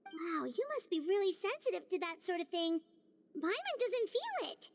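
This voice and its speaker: A woman voicing a small, childlike, excitable fairy companion in a video game. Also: extremely high pitch, moderate pace, full character voice. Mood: puzzled. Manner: refers to self in third person, exclamatory. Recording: loud game music behind.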